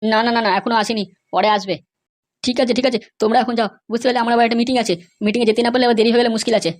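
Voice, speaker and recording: A woman's voice: fairly high pitch, flat delivery, rapid-fire, close to an everyday voice. A woman playing an evasive, scheming male schoolmaster who placates and repeats himself in a comedy cartoon. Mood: placating, evasive. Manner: placating, repetitive.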